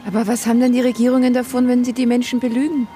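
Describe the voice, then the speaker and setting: A woman's voice: on the high side, little pitch movement, racing, warm tone, faint music behind, close to an everyday voice. An actress playing a polite, questioning and incredulous woman radio presenter held hostage in a radio play. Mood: polite disbelief. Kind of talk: contemporary radio play acting.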